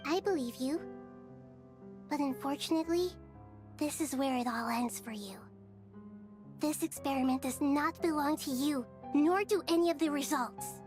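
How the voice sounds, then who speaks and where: An actress playing a small, wise goddess in a video game, serene but firm, speaking with calm, solemn deliberation. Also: female voice, sits high, moderate pace, long pauses, lightly acted. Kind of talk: scripted video game voice acting. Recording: music under the voice.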